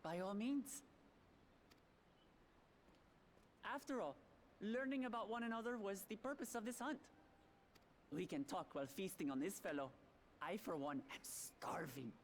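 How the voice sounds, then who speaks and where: A woman's voice: mid-range voice, expressive, normal speed, slight background noise, close to an everyday voice. A woman playing a confident, cheerful, candid young princess in a video game, speaking in an articulate, breezy way. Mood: cheerful, teasing. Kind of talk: video game cutscene voice acting.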